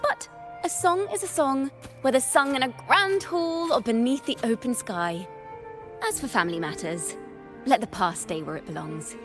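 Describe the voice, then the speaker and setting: An actress playing a cheerful, easygoing young bard in a video game, with an expressive manner. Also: female voice, on the high side, normal speed, music in the background. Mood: breezy, reflective.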